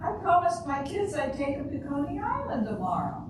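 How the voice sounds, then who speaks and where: A woman's voice: high voice, sing-song, brisk. An older woman in an amateur readers' theater playing a naive, blunt, literal-minded housewife and mother. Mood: stubborn, artless. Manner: literal-minded, plain, ungrammatical phrasing. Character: naive, blunt housewife and mother, matter-of-fact about shooting husband. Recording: distant, very echoey, noisy room.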